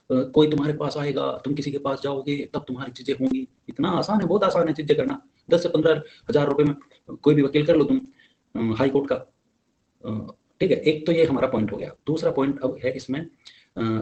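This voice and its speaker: A man's voice: medium pitch, dark tone, poor audio. A young man gives an informal spoken update to his peers, insistent and repetitive as he urges them on. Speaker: exam candidate giving updates to fellow applicants.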